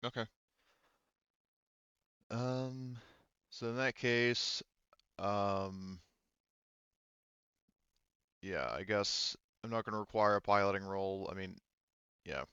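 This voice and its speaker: A man with a low, smooth voice narrates as game master of a tabletop roleplaying session. He feels his way through descriptions, pausing and rewording mid-sentence. The sound is dry and slightly muffled. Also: fairly bright tone, dry recording. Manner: halting, thinks aloud, often rephrases mid-sentence.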